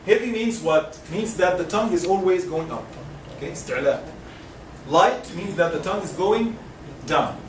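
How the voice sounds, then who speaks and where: A man from Egypt leads a classroom lesson, drilling sounds slowly so students can echo them. His voice sits a little high. He is recorded from a distance in a reverberant, noisy room. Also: animated. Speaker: Quran recitation teacher. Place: lecture classroom. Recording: roomy classroom, mic far off, noisy.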